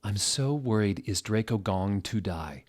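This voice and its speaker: A man's voice: on the low side, animated, unhurried, a little mellow. A man voicing a sullen, melodramatic gothic teenage girl with a moody delivery in a comic story reading. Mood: gloomy.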